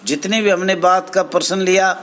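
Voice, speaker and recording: A man giving an informal grievance speech to members as an association spokesman, in halting, repetitive phrases with emphatic appeals. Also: sits high, fairly even intonation, fairly bright tone. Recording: clear background noise, amateur recording.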